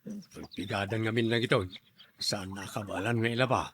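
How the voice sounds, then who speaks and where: A man's voice: on the low side, normal speed, a bit bright, slight background noise, lightly acted. A man playing a henpecked, hard-drinking husband in an audio drama, grumbling and protesting in a defensive manner. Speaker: voice actor in a drama. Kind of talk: Ilocano audio drama acting.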